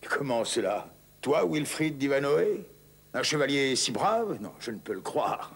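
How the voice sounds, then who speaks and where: A righteous crusader king in an animated adventure. His voice is husky, with sweeping, lively intonation. He declaims with regal authority and noble conviction. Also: medium pitch, measured pace, heavily acted. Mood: incredulous. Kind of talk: dubbed cartoon acting.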